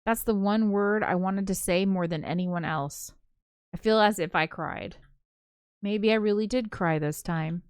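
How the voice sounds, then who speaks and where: A woman's voice: mid-range voice, unhurried, smooth, dry recording, clean studio sound, close to an everyday voice. A woman reading the part of a brooding, traumatized ghost girl, narrating a visual novel in an introspective, melancholy way. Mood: wistful. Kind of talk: dramatic visual novel read-aloud.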